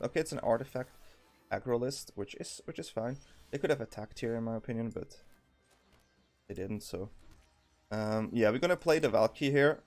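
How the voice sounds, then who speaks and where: A young man with a Swiss accent giving casual, thinking-aloud commentary as he plays a card game, with frequent ums and restarts. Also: fairly low pitch. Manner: thinking aloud, many ums and self-corrections.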